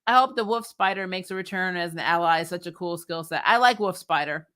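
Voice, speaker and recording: A woman's voice: on the low side, a little fast, a bit bright. A woman hosting a fan recap show, chatting casually and bluntly about TV with loose, informal phrasing and some swearing. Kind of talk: casual livestream chat about TV shows.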